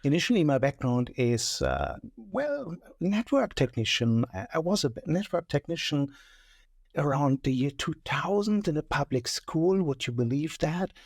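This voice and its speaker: A man's voice: fairly high pitch, big pitch swings, normal speed, creaky, no room sound, clean studio sound. A man in his forties from Germany with a German accent, chatting casually and joking about himself as a podcast guest. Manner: chatty, self-deprecating, joking. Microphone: studio mic.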